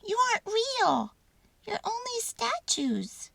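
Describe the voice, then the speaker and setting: A woman voicing a tiny, excitable, tender-hearted male mouse with a squeaky, expressive voice in a children's story. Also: takes it slowly, gravelly, full character voice. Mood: surprised.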